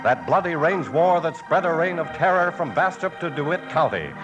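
A high-voiced male radio announcer of the 1950s delivers scripted, dramatic narration. Music plays clearly behind him. The old transcription sounds slightly dull and lo-fi. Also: animated, moderate pace.

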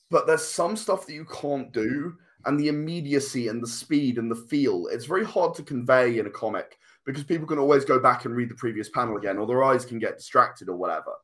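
A man's voice: medium pitch, a bit bright. A man speaking as an interview guest, thoughtfully explaining his creative work at length in conversation. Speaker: comic and game writer, interview guest.

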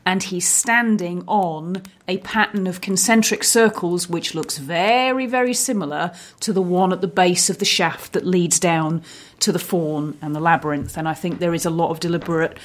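A woman's voice: fairly low pitch, normal speed. A woman co-hosting a film discussion, casual yet analytical, putting her points in a considered, articulate way. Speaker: podcast co-host discussing films. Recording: music clearly audible under voice. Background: music.

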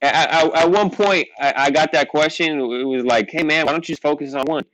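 A man's voice: a little high, a little fast, a bit bright, no echo, low-quality recording. A young man whose first language is Portuguese, a rapper talking casually as a guest, rambling and filling with "like" and "you know what I mean.". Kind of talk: casual interview chat.

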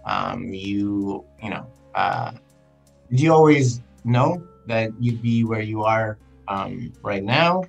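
Male interviewer, lowish pitch, halting and casual, fillers throughout, faint music behind. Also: big pitch swings, vocal fry, dull audio. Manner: hesitant, lots of fillers and you-knows.

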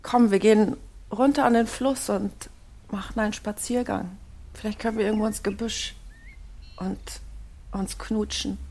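Wife in audio drama, played by an actress: natural female voice of medium pitch, wistful, stubborn, conversational. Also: steady tone, moderate pace, a little mellow, lightly acted. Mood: tender, hopeful.

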